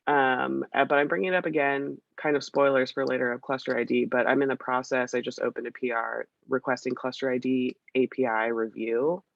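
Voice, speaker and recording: A woman's voice: a bass voice, flat delivery, fairly quick, creaky, hardly any pauses, no room sound. A woman with a scratchy voice from a cold, giving a chatty, hesitant update with many fillers on a video call. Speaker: meeting presenter. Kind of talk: casual work meeting update. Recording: dull, dry video-call audio.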